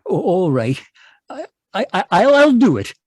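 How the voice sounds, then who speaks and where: A man acts out a timid, anxious husband in a radio mystery. He stammers and frets in a husky, fairly high voice with lively swoops. The recording is dry and close. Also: measured pace, no room sound, exaggerated voice. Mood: fretful, frightened. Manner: stammering, hesitant, fretful. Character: timid, anxious runaway husband, Tom Turkey. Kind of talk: old-time radio drama acting. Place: live online performance over video call.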